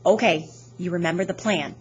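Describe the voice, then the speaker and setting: A woman's voice: on the low side, a little fast, breathy edge. A woman voicing an earnest, wry young boy hero in a plain, determined way.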